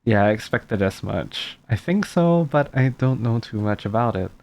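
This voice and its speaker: Very low-voiced woman streaming a game. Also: animated, moderate pace, a little mellow. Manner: offhand, musing aloud, reading dialogue. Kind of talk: casual playthrough commentary. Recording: game music behind.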